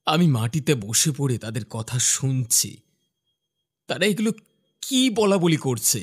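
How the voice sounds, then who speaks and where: A young male office worker, voiced by a man, tells his ghostly tale in the first person. His voice is medium-pitched, in a scripted cartoon narration style. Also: sing-song, unhurried, slightly rough. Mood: fearful. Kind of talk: scripted horror story narration.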